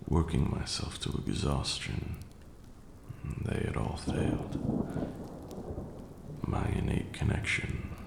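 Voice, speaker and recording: Man playing a very deep, gravelly vampire lord. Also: reverberant, a little hiss or hum, heavily acted. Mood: sorrowful, grave. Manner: measured, formal, hushed, with long pauses. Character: ancient male vampire lord, wistful, proud, tender. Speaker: ASMR roleplay performer. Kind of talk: ASMR roleplay, slow intimate storytelling.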